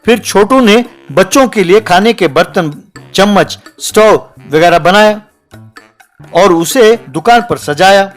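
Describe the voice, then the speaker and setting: A man's voice: sits high, expressive, unhurried, soft background music. A man narrating a scripted comic village tale as a storyteller.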